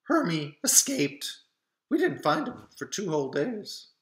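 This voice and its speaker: A man's voice: high-pitched, big pitch swings, a little slow, voice pushed up. A man voicing an earnest, apologetic young boy in a children's storybook, explaining himself eagerly. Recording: roomy, echoing sound.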